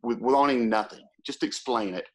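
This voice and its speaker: A man with a Southern American accent hosts a relaxed conversation in a voice of ordinary middle pitch. He talks in an easygoing, chatty way and openly shows his admiration for his guest. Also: moderate pace, low-quality recording. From Georgia, USA. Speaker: host. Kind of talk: casual interview.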